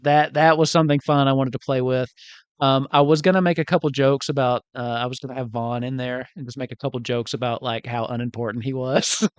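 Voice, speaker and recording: Jokey game master, close dry sound. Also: male voice, mid-range voice, steady tone, a little fast, smooth, no echo. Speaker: game master of a tabletop campaign. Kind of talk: casual group chat about a game.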